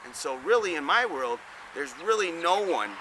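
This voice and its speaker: A man's voice: a little high, expressive, normal speed. Rambling man outdoors by traffic. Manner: rambling, repeats words, folksy direct address. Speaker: host giving business advice. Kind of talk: casual monologue to camera. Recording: outdoor traffic noise behind.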